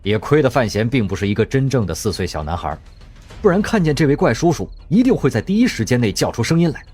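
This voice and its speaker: A man narrating an audio drama, telling the story expressively in a husky voice. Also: mid-range voice, big pitch swings, quick pace, darkish tone, soft background music.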